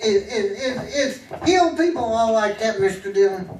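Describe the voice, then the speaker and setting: A man's voice: sits high, lively intonation, moderate pace, a little mellow. A man playing a simple, good-natured sidekick in a radio western, folksy and stammering. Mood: puzzled. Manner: folksy, stammering, repeats words. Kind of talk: old-time radio drama acting on stage. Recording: echoey live stage, distant, faint noise.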